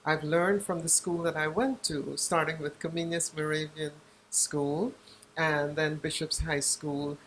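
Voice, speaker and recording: A woman's voice: low voice, level tone, measured pace, a little hiss or hum, poor audio. Reflective older woman from Guyana. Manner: reflective, searches for words. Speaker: author and journalist, guest. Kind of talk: interview.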